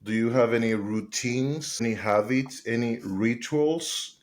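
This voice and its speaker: A man's voice: medium pitch, a little slow. A man co-hosting a podcast, asking questions with casual enthusiasm and now and then some light swearing. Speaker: podcast co-host.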